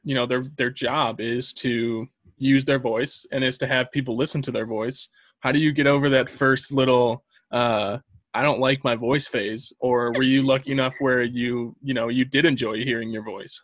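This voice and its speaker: Low-key male podcast host, dry sound. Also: fairly even intonation, moderate pace, fairly bright tone, no room sound. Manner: hesitant, filler-heavy questions. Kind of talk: casual podcast interview.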